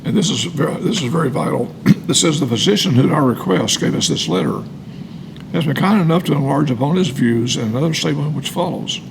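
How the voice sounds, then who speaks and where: Gravelly, animated American man, emphatic. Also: fairly high pitch, a little fast, noisy background. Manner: emphatic, repeats phrases for weight. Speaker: co-host reading and commenting on a recovery book. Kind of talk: reading aloud with personal testimony.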